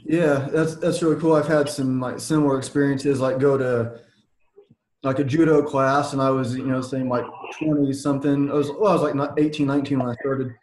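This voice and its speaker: Relaxed podcast host in an echoey room. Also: male voice, mid-range voice, a little fast, a little hiss or hum. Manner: relaxed, filler-heavy, trailing off quietly. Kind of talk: casual interview chat.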